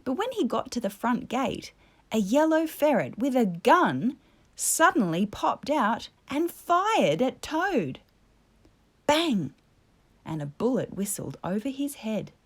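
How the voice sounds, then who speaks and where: A woman narrating a children's story as a storyteller, in a measured, expressive way. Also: on the high side.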